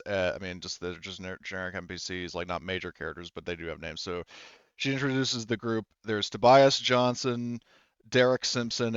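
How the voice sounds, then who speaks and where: A man improvises narration as the game master of a tabletop roleplaying game. His voice is smooth and on the low side, and he rambles haltingly, thinking aloud. The recording is dry and slightly dull. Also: fairly bright tone, no room sound.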